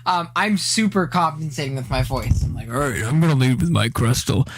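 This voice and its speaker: A young man with a high-pitched, not very deep voice, live-streaming and chatting casually as he reacts to an old video, rambling, teasing his chat and poking fun at himself. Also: fairly quick, a little hiss or hum.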